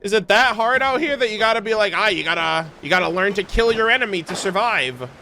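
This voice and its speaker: Male gaming streamer, high bright voice, slangy incredulous reactions. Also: animated, quick pace, vocal fry, slight background noise. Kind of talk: casual game reaction commentary.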